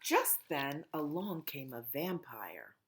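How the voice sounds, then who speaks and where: Slow, lively storytelling woman. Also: deep voice. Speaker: children's librarian. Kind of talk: storytime read-aloud.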